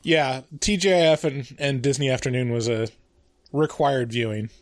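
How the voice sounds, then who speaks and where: A man's voice: mid-range voice, normal speed, no room sound. Laid-back, joking man of forty. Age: 40s. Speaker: podcast co-host. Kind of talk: casual podcast chat.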